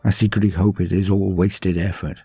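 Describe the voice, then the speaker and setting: A man narrating a scripted story in a solemn, theatrical manner, with deliberate dramatic pauses. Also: low-pitched, level tone, mellow, husky, no echo. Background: faint music.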